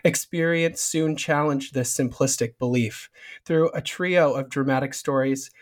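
A man hosting a book podcast, reading a formal introduction and asking interview questions. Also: mid-range voice. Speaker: podcast host.

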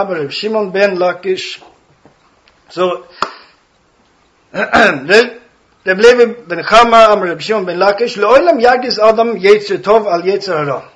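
A rabbi speaking English with a Swedish accent, giving an earnest Torah talk. He explains text phrases one by one, clears his throat often and adds dry jokes. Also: high-pitched, muffled recording. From Sweden. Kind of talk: Torah talk to a study hall.

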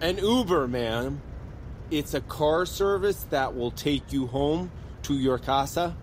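A man's voice: on the high side, slow pace, a little hiss or hum. An actor playing a goofy, clueless American man of thirty, flippant and blithely dim in comedic audio drama dialogue. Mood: clueless, joking. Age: 30s. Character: goofy, clueless thirty-year-old slacker best friend.

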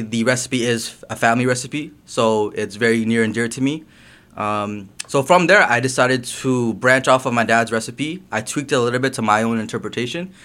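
A young man from Guyana, a guest talking about his business in a relaxed, casual way, full of "um" and "you know". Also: medium pitch. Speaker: guest, hot sauce business owner. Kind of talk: casual interview chat.

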